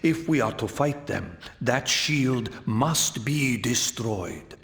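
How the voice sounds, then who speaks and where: Slow, solemn ancient vampire elder. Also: male voice, medium pitch, expressive, gravelly. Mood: solemn, urgent. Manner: measured, formal, portentous delivery. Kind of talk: theatrical fantasy game cutscene acting.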